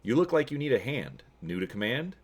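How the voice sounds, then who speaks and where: A man's voice: medium pitch, very animated, a little fast, voice pushed up. A man voicing a grim, weathered older colonel in a story reading, confiding and wry, friendly yet coldly ruthless.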